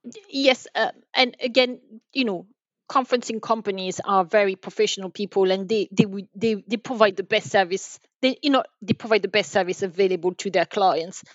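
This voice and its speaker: A woman explaining things as an expert guest in a podcast interview, haltingly, often repeating and rephrasing her phrases. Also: medium pitch, no echo, dull audio. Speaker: guest, enterprise sales manager.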